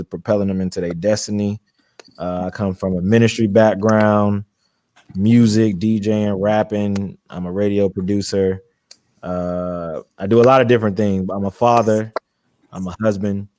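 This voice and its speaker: A man in his thirties with a deep voice, calmly telling his background as an interview guest. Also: dry recording. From Brooklyn, New York, raised in Charlotte, North Carolina.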